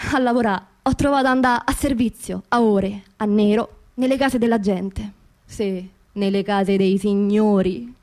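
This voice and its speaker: A woman with a Roman accent performing a colloquial, theatrical radio monologue, repeating words for emphasis. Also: fairly high pitch. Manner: emphatic repetition of words and phrases. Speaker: stage actress performing her own monologue.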